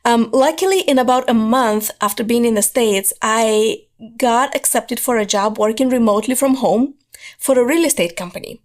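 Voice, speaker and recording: A woman, telling her life story as a podcast guest, hoarse from losing her voice and talking in an earnest, driven way. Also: on the high side, moderate pace. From Moldova. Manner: earnest, driven storytelling, repeats words for emphasis.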